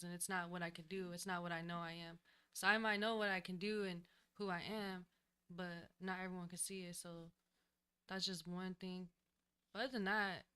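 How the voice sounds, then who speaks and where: A woman who is a new singer, answering interview questions in a studio in a soft, hesitant way, often pausing to think. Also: lowish voice, smooth. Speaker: guest singer, new artist. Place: recording studio.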